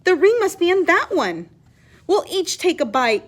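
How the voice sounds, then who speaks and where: A woman's voice: extremely high pitch, big pitch swings, normal speed, voice pushed up. A woman voicing an anxious, guilt-ridden young girl in a storybook, urgent and emphatic. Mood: urgent, bossy.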